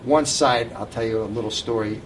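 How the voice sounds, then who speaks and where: A man's voice: medium pitch, a little fast, recorded from a distance, noisy background. An older man telling informal anecdotes to a live audience, recorded at an event. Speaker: guest storyteller at a reunion event.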